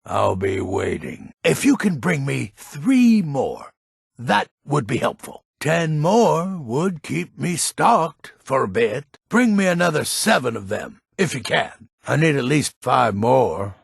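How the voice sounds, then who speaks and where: Gravelly, grumpy old fantasy nobleman. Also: medium pitch, sing-song, slow, dry recording, clean studio sound, exaggerated voice. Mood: impatient, businesslike. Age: older. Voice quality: gravelly, raspy. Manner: gruff, curt, impatient. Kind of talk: video game character dialogue.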